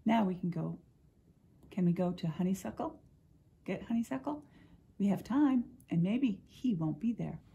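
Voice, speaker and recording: Little boy mouse from a storybook, eager and pleading, high voice with a gravelly edge, put on by a woman reading aloud. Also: lively intonation, moderate pace, pauses often, mellow, exaggerated voice.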